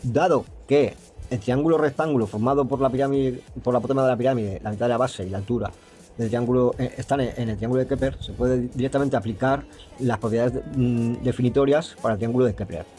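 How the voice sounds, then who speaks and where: A man explaining geometry step by step, pausing and restarting often and stumbling when he reads English passages aloud. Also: mid-range voice, mellow, soft background music. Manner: hesitant, with frequent restarts and fillers. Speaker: math explainer.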